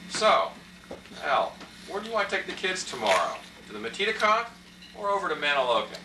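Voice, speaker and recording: A man's voice: a little high, big pitch swings, fairly quick, voice pushed up. A man voicing an easygoing, slightly weary family dad in an amateur puppet show. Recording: echoey, noisy room from far off.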